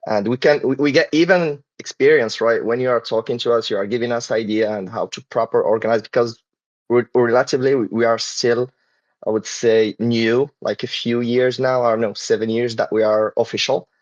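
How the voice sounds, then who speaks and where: A man's voice: mid-range voice, steady tone, fairly quick, dry recording. Moroccan man hosting a podcast, hesitant. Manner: hesitant, many fillers and restarts. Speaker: podcast host. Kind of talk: casual podcast discussion. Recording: dull lo-fi dry sound.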